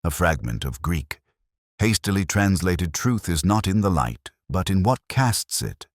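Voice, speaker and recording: A man narrating a scripted literary detective story as a melancholy, erudite police inspector, in a measured, solemn and reflective manner. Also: low voice, big pitch swings, no room sound, studio quality.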